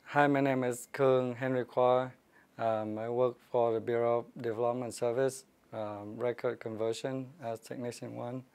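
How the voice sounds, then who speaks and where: A man with a smooth but gravelly voice introduces himself, stopping often with "um." His pitch stays flat as he gives his service details. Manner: hesitant, many "um" pauses. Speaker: records technician and National Guard veteran. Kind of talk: recorded interview testimony.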